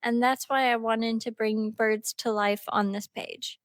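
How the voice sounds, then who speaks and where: A young woman who is a little sick, gently narrating her art process in a chatty, upbeat way. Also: fairly high pitch, fairly even intonation, a little slow, faint music behind. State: slightly sick. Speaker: art vlogger. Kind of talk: relaxed art tutorial narration.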